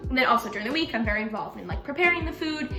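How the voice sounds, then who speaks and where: A young woman whose first language is Russian talks in a quick, chatty way, full of "like"s and fillers. Her voice sits fairly high. The room sounds echoey, and music plays clearly in the background. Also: animated. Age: young adult. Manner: chatty, fast, many fillers. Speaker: student community president. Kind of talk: casual chat.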